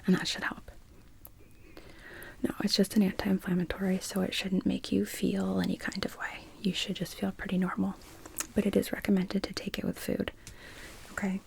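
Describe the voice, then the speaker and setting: A woman whispering breathily in a gentle, reassuring way, playing a doctor who explains care in an ASMR medical roleplay. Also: mid-range voice, monotone, normal speed, creaky. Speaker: ASMR performer playing an emergency room doctor.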